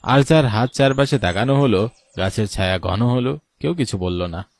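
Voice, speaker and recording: A man narrating a scripted horror story in a measured, solemn manner. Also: medium pitch, animated, no echo, clean studio sound. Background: music bed.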